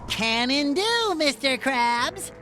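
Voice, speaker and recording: A man voicing an eager, naive, cheerful young sea sponge with a high nasal voice in an animated video game. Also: slow pace, exaggerated voice. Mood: perky. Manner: perky, earnest. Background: loud music.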